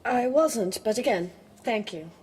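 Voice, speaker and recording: An actress playing a cool, guarded young nurse in a radio drama, dry and direct. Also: female voice, fairly high pitch, lively intonation, unhurried, a little mellow. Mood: cool, dismissive. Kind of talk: naturalistic radio drama acting. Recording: radio drama with background noise.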